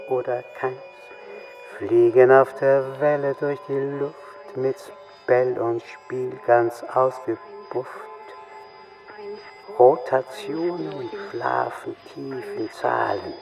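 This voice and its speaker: A male performer of playful, cryptic verse. He delivers it very slowly and rhythmically at a middle pitch, in a slightly dull recording over music. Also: vocal fry. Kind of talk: song-text performance in a radio play.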